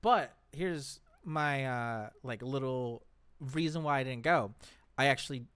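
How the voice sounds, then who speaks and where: A man's voice: medium pitch, measured pace, fairly bright tone, clear, resonant tone, no echo. A man co-hosting a casual chat show, talking enthusiastically and emphatically about his favourites. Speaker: podcast co-host.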